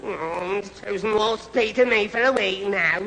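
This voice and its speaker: A man's voice: high-pitched, measured pace, a crowd in the background. A man playing a dim, muddled, put-upon lad, stammering through a 1970s radio sitcom. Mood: sulky. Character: dim, flustered, put-upon lad posing as someone's dad. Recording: noisy old radio recording, audience behind.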